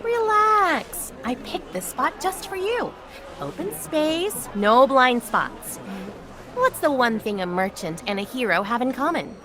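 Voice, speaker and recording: A woman's voice: high voice, sing-song, slow, a crowd in the background, exaggerated voice. An actress playing a playful, shrewd young woman merchant, teasing and coaxing, in a video game. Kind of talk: dramatic video game dialogue. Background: music.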